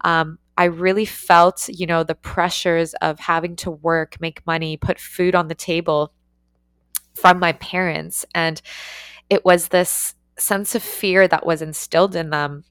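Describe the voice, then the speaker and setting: A young woman hosts her own podcast, speaking in a fairly low, earnest voice. She encourages her listeners and leans on repeated words for emphasis. The recording is dry and close. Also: flat delivery, a little slow, a bit bright, clear, resonant tone, no room sound. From born in Ukraine, raised in Canada. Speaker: podcast host. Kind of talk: solo podcast monologue.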